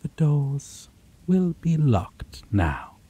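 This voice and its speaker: A man voicing an ancient, possessive vampire in an ASMR roleplay, theatrically courteous and menacing, with breathy, drawn-out phrasing that slips into whispers. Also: a little high, full character voice. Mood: playful, menacing. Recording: steady rain and thunder noise behind.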